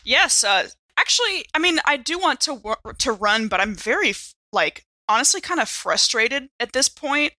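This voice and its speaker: A woman's voice: sits high, moderate pace, no room sound. A woman playing a tabletop game, improvising casually and expressively as she describes her actions. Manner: expressive, emphatic. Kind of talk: casual, improvised in-character play.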